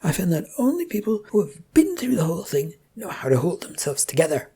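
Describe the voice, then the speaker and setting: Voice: medium pitch, wildly swooping pitch, a little fast, gravelly, warm tone, breathy edge, voice pushed up, full character voice. A narrator voicing a sadistic male torturer in a story, chatty and mock-polite as he taunts his victim. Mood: taunting.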